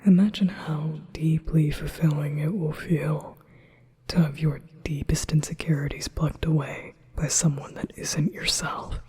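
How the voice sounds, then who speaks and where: A man's voice: on the high side, fairly even intonation, mellow, husky. A man whispering as a possessive yandere demon. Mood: hypnotic. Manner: slow soothing whisper. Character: possessive manipulative male demon offering a contract. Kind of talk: ASMR hypnosis roleplay.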